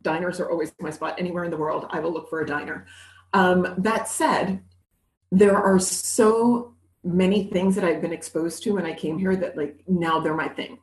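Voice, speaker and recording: A woman's voice: fairly low pitch, moderate pace. Candid New woman, roomy echo. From New York City. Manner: candid, animated, laughs easily. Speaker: guest. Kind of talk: conversational interview. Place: living in Montreal.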